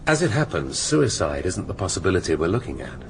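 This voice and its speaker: Dry, low-voiced police inspector. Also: male voice, very animated, fairly quick, vocal fry, soft background music, full character voice. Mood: probing. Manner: dry, probing, sardonic. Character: shrewd male police inspector, dry and probing. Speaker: detective questioning witnesses. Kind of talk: naturalistic radio drama acting.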